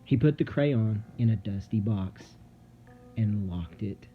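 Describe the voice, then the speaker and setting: A man reading a children's story aloud dramatically, with suspenseful, theatrical delivery and deliberate pauses. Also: fairly low pitch, phone-quality sound. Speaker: storybook narrator. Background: music.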